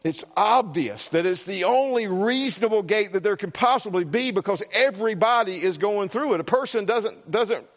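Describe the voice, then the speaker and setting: A man with a South Carolina accent preaches in a folksy, earnest way, and his voice sits high for a man. He often repeats words as he goes. The sound is dull and lo-fi, with a long reverb from a large hall. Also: a bit bright. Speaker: pastor. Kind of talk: sermon with a personal story.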